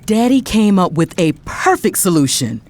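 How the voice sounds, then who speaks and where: A woman's voice: lowish voice, sing-song, normal speed, darkish tone. A woman playing a bossy, polished older sister in an audio drama, managing her family in an emphatic, persuasive way.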